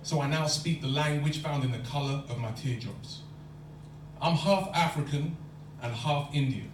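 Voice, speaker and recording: A man's voice: fairly high pitch, normal speed. A man performing spoken word poetry in a lecture hall, with a rhythmic, rhyming delivery. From London. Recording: echoey hall from far off, faint hum.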